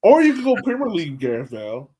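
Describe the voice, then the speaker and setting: A man hosting a casual sports podcast, talking informally and thinking out loud as he goes. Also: high voice, huge pitch swings, fast, breathy edge. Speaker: podcast host.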